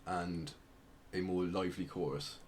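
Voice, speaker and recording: A man hosting a podcast, explaining things casually in a hesitant, measured, dry way. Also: low voice, fairly even intonation, a little mellow. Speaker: podcast host presenting outsider music. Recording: clear background noise.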